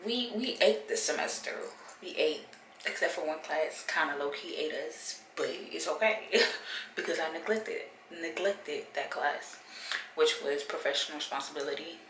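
A woman's voice: low voice, moderate pace, a bit bright, roomy sound, a little hiss or hum, low-quality recording. A woman in her twenties chatting casually to a phone camera at home, rambling with many fillers. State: stuffy nose. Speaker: vlogger. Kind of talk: casual vlog chat. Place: bedroom at home. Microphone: phone camera at arm's length.

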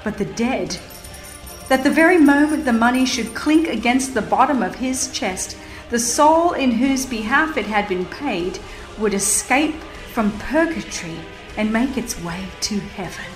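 A woman's voice: fairly high pitch, lively intonation, measured pace. A woman narrating a religious history audiobook in a solemn, emphatic, formal manner. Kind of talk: dramatized scripted narration, reading a religious history. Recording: echoing voice over music. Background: music.

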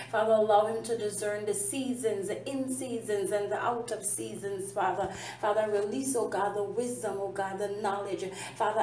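A woman's voice: mid-range voice, warm tone. A woman with a Caribbean English accent leading a fervent spontaneous intercessory prayer, repeating "oh God" and "Father" between phrases. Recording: echoey, distant mic with faint noise.

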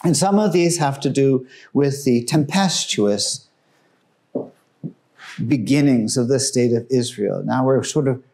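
A man giving an informal, enthusiastic talk about artworks in a museum room, growing hushed and solemn at the tragic pieces. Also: mid-range voice, unhurried, creaky. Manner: enthusiastic, conversational, drops his voice for solemn works. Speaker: museum guide presenting artworks. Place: museum gallery room, indoors.

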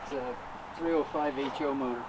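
A man giving a casual tour of his cars, explaining engines in a relaxed, matter-of-fact way with the odd chuckle. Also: medium pitch, brisk, noisy background. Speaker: upholsterer showing his cars. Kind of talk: casual shop tour chat.

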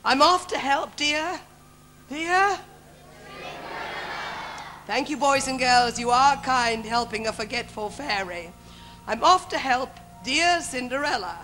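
A woman playing a kindly, forgetful fairy godmother, declaiming rhyming verse deliberately on a pantomime stage. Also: high-pitched, bright, forceful tone, soft background music, heavily acted. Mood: warm, flustered. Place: large theatre stage, indoors.

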